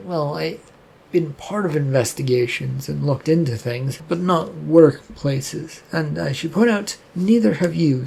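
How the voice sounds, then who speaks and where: A man playing a casual, wry male demon investigator in a story, with a halting, sardonic manner. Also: fairly high pitch, creaky, darkish tone, slightly rough, exaggerated voice, rough recording.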